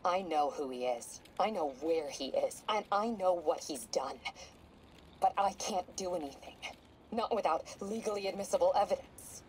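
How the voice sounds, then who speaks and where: A woman's voice: on the low side, lively intonation, moderate pace, slight background noise, lightly acted, poor audio. An actress playing a driven female police captain in a video game, clipped, intense and frustrated.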